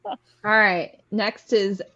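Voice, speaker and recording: A woman's voice: mid-range voice, steady tone, takes it slowly, sharp tone, dull audio. A woman hosting a word game in casual group chat. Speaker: host running a word game.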